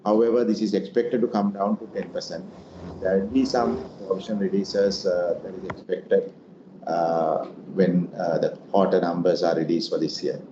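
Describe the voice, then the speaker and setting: A man's voice: sits low, steady tone, moderate pace. A man answering investor questions as an executive leading an earnings call, in a semi-formal way. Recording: muffled, echoey room with noise.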